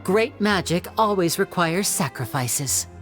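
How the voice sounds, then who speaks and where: A woman playing a poised young magician sleuth in a video game, measured, theatrical and confident. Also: fairly low pitch, animated, soft background music. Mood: measured, deductive. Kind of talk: dramatic video game storytelling.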